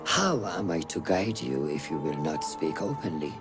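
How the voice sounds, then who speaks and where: A grave, expressive assassin mentor. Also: male voice, rumbling low, music in the background. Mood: probing. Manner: grave, formal, deliberate. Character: stern, wise mentor of a hidden assassin order. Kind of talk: dramatic video game acting.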